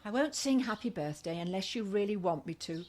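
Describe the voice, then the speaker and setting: Woman acting a lively, teasing British best friend. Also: medium pitch, fairly quick, lightly acted. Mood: breezy, affectionate. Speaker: actor in a radio play. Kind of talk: radio comedy play acting.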